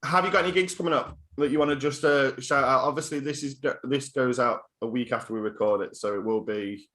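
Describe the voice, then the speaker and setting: Bright-voiced man hosting a casual interview. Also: medium pitch, fairly quick. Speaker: music podcast host.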